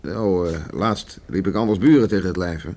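An actor in a Dutch radio play, playing a stern, stubborn middle-aged farmer father troubled by remorse, brooding and halting. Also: sits low, a little mellow. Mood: evasive, hesitant. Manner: brooding, halting, muttering to himself. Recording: faint background noise on radio recording.

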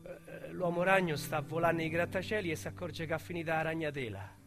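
An actor with a Roman accent playing a small-time male crook who confides his past in a radio drama, speaking in a wistful, halting way. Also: fairly high pitch, gravelly, over music. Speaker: narrator-protagonist.

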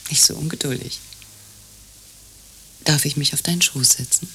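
A bossy capricious woman in a radio play, really breathy n husky, kinda low, curt and evasive. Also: moderate pace, fairly bright tone, exaggerated voice. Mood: imperious, teasing. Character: capricious, commanding woman in an absurd couple sketch.

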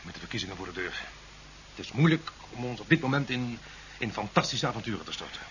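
An actor playing a formal, irritated and evasive president in a 1970s science fiction radio play. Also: male voice, on the low side, big pitch swings, fairly bright tone. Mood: evasive, dismissive. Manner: formal, dismissive, brisk. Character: evasive, irritated president worried about elections. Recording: dull, slightly hissy 1970s radio recording.

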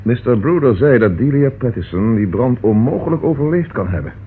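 An actor playing an earnest, insistent police detective in a radio play. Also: male voice, medium pitch, lively intonation, a little fast, phone-quality sound, natural voice. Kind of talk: old radio play acting. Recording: thin, noisy old radio recording.

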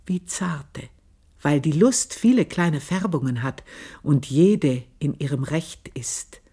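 An actress in a radio play, playing a passionate, bitter, cunning young woman who confesses deliberately and intensely, at times hushed. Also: sits low, breathy edge, heavily acted. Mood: sensual, intimate. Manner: deliberate, intense, dramatic phrasing with hushed, near-whispered passages. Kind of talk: literary radio play monologue.

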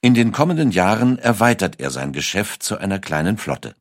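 A man narrates a scripted documentary in a very deep voice. His intonation moves with lively range, and the recording is dry and close. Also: fairly quick, no room sound.

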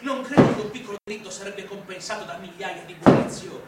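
Actor as high-pitched, insistent, murderous persuader. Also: male voice, fast, lightly acted. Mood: cold, goading. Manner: insistent, rhetorical, contemptuous. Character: cold, fervent man arguing coolly for murder. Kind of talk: theatrical radio drama acting. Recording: distant, very echoey, noisy drama recording.

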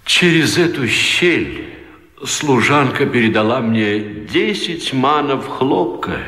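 A man's voice: mid-range voice, big pitch swings, takes it slowly, sharp tone, heavily acted. An actor playing a woeful, fearful captive nobleman, mournful and melodramatic in a radio comedy. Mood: mournful explaining. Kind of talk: theatrical radio comedy acting. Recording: echoey hall, long reverb.

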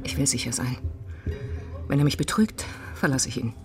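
An actress playing a betrayed, determined stewardess in a naturalistic radio drama, speaking in short, controlled sentences. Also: female voice, extremely low pitch, lively intonation, normal speed, darkish tone. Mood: insistent, determined. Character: betrayed, determined airline stewardess consulting a detective. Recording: music under the voice.

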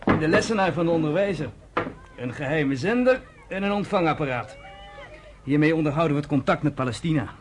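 A man's voice: fairly high pitch, animated, a little fast, background noise, close to an everyday voice. A man playing Joab, a friendly trainer eagerly showing hidden gear, in a radio play. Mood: welcoming. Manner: cheerful, eager to show secrets.

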